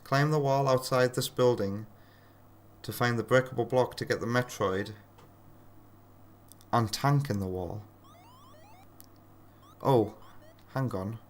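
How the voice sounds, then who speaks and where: A man's voice: medium pitch, slow pace, pauses often. A British man from the UK, chatting casually on a live game stream, reading out chat messages and muttering at the game. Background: game music.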